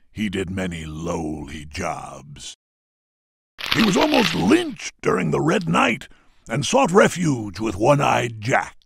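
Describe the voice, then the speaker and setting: Pirate chronicler, heavy fry, husky, dramatic declaiming for a game. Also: male voice, a little high, dry recording, studio quality, full character voice. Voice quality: raspy, gravelly. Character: theatrical pirate ship chronicler reading crew records.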